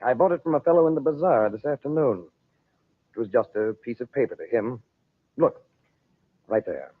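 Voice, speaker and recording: An actor playing an earnest, ardent hero and magician in an old-time radio drama. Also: male voice, medium pitch, lively intonation, moderate pace, breathy edge. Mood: serious, confiding. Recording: thin, phone-like old radio recording.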